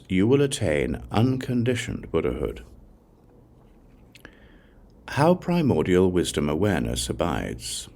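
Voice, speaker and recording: Deep, creaky-voiced man reading scripture. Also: a little mellow. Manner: solemn, deliberate reciting with short measured phrases. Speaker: audiobook narrator. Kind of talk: scripted narration of a Buddhist scripture.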